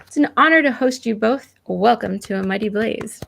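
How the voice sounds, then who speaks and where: A woman's voice: a little high, normal speed, vocal fry, fairly bright tone, a little hiss or hum. A woman hosting an online poetry interview, introducing writers and putting questions to them. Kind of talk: introductions read out, then conversational questions. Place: live online video call.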